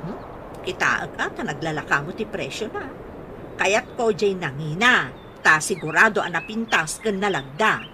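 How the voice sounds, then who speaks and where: A woman playing a vain, fussy mother with a sharp temper and an Ilocano accent in a radio comedy drama. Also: lowish voice, sing-song, normal speed. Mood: proud, bantering. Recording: noisy radio drama recording, ambient sounds.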